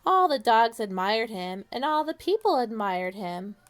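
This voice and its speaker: A woman reads a children's story in a fairly high voice. Her delivery is very animated and expressive, with dramatic pauses and direct questions to her young listeners. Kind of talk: animated picture-book read-aloud for young children.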